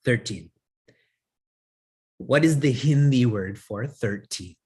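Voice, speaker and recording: A spiritual teacher gives a discourse in a husky voice of middle pitch. He puts questions to his listeners and answers them himself, and he often chuckles between points. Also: slow pace, dark tone. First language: English. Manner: chuckles often while talking.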